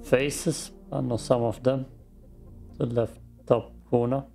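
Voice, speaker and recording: A man's voice: medium pitch, steady tone, slow pace, vocal fry, mellow, soft background music. A man with an Austrian accent, a native German speaker, giving casual muttering commentary as he plays a game. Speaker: gaming streamer. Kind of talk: casual let's play commentary.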